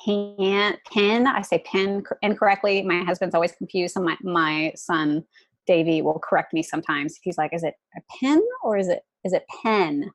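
A woman's voice: fairly low pitch, steady tone, moderate pace, no room sound. A woman with a Southern US accent, chatting casually as an interview guest. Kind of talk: casual chat.

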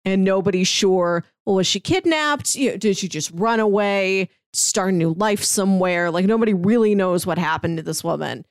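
A woman's voice: medium pitch, normal speed, few pauses, dry recording, clean studio sound. A woman co-hosting a podcast in casual, chatty style, given to chuckling as she talks. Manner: chuckles often while talking. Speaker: podcast co-host. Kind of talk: casual chat.

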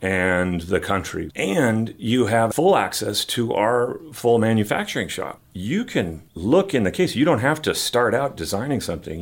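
A man with a fairly low voice speaks in a conversational advertising style. He sounds like a store's own spokesman explaining its services. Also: gravelly.

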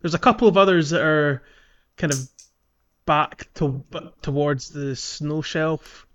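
A man hosting a podcast, talking through a video game in a casual, halting way as he thinks aloud. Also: on the high side, fairly bright tone, highs cut off. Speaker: podcast host. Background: music.

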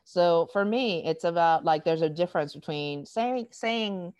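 An American woman speaking in an interview, explaining things in an animated, storytelling way and acting out quoted dialogue. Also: on the low side, a little slow, smooth, no echo. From United States. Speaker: diversity educator. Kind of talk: conversational interview.

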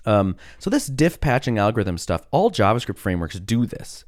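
Sick guy with a cough, super low voice, rambly podcast talk, dry close sound. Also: sing-song, normal speed, no echo. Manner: rambling, many fillers and restarts. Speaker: podcast host. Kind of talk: casual solo podcast monologue.

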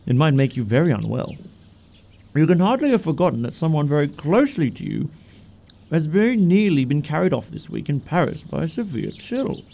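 An actor playing a greedy, flippant young English gentleman in a comic radio play, with a glib, pompous, mannered delivery. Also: a little high, big pitch swings, brisk, creaky, exaggerated voice. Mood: glib, argumentative.

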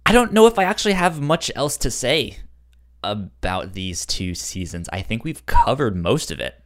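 A man co-hosting a podcast in casual chat, halting and sometimes stammering over his words. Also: medium pitch, big pitch swings. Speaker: podcast co-host.

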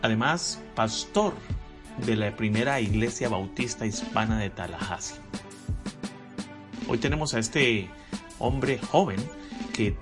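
A man's voice: lowish voice, animated, slow pace, long pauses, music in the background. A man hosting a podcast, introducing his guest and asking questions in an upbeat, encouraging way. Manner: upbeat, encouraging, short affirmations. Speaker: podcast host. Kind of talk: podcast interview.

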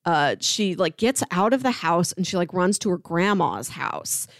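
A woman's voice: on the low side, animated, unhurried, dry recording. Woman chatting casually in dry close sound. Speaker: podcast host. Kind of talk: casual TV review chat.

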